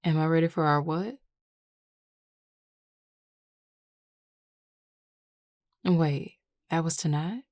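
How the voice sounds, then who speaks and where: Woman voicing a low, flirty Southern werewolf. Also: moderate pace, a little mellow, no room sound, clean studio sound, lightly acted. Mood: playful, teasing. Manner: drawling, teasing, sighing, casual and affectionate. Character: flirty, affectionate Southern werewolf, adult, smitten and teasing. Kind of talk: intimate audio roleplay, one-sided conversation.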